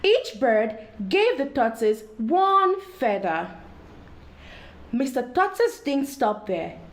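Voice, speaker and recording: A woman reading a story aloud to children in an expressive storytelling style, addressing young listeners directly. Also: high-pitched, slow pace.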